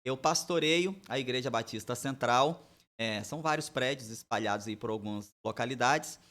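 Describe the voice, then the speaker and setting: Forties male pastor, guest on a church podcast, tells his testimony in a relaxed, chatty way. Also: mid-range voice, moderate pace. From Bahia, Brazil. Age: 40s.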